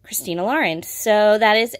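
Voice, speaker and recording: A woman's voice: medium pitch, normal speed. A woman with a mid-range voice chats to her viewers in a casual vlog style. She sounds enthusiastic about what she shares and leans on key words for emphasis. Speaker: book vlogger. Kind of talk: casual monthly reading wrap-up to camera.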